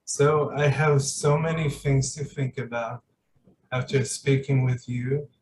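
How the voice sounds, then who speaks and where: A man's voice: mid-range voice, level tone, slow pace, far from the mic, noisy background. A man hosting a podcast in casual, reflective conversation, hesitant and searching for his words. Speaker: podcast host.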